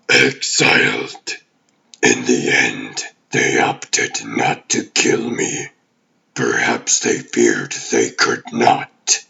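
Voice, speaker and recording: A man's voice: sharp tone, highs cut off, exaggerated voice. A man voicing a menacing exiled alien demon warlord in a comic dub, grandiose and vengeful, with a growling, pompous delivery. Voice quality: very deep, gravelly growl. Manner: pompous, slow theatrical villain speech.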